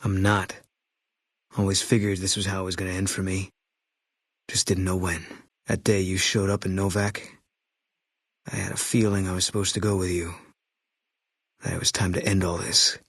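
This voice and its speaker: An actor playing a grim, haunted former soldier and sniper in a video game, speaking in terse, clipped, guarded lines. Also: male voice, deep voice, level tone, moderate pace, pauses often, a bit bright, husky, dry recording, full character voice. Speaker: companion character. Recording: dry studio sound.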